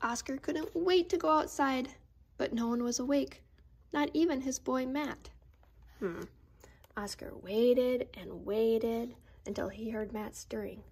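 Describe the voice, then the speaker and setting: A woman storyteller reading aloud to children in a playful, expressive manner. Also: on the high side, a little slow, slight background noise. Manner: playful, expressive, with gasps and interjections. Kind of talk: animated children's read-aloud.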